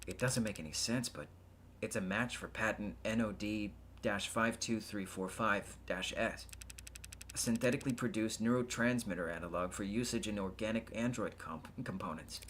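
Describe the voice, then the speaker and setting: A man's voice: fairly low pitch, normal speed, a bit bright, a little hiss or hum, natural voice. A man voicing a nervous, evasive tech worker in video game dialogue, explaining himself in a hesitant, defensive manner. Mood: guarded, puzzled.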